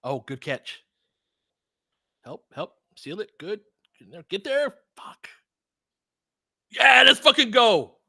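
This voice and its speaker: A man hosting a live basketball watch-along, talking as an excitable, swearing fan who also analyzes the play. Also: high voice, takes it slowly, creaky, pauses often, dry recording, studio quality. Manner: profane, excitable fan talk with analytical asides. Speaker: sports podcast host and analyst.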